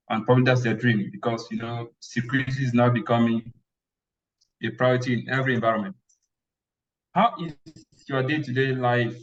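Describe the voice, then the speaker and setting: A man hosting an online talk, enthusiastic and often checking in with "right?" as he questions a guest on a video call. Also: medium pitch. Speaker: host. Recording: muffled, distant call audio.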